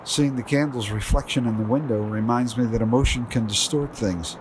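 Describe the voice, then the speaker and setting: An actor playing a lonely, grieving widower who muses wearily to himself in an audio drama. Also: male voice, medium pitch, a little fast, background noise, lightly acted. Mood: wistful, weary. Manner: talks to himself, reflective.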